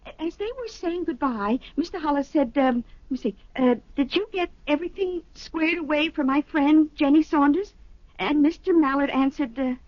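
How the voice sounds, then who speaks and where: Actress doing high chatty secretary, eager and halting, dry muffled old radio sound. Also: female voice, no echo, close to an everyday voice. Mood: musing, recalling. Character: chatty, helpful, scatterbrained female office secretary. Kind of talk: 1970s radio drama acting. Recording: dull lo-fi old radio recording.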